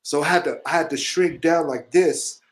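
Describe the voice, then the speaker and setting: A middle-aged man from Chile telling rambling, profanity-laced stories in a casual chat, animated, with frequent asides. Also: a little high, moderate pace. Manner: rambling, swearing, animated storyteller. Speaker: musician telling stories on a show.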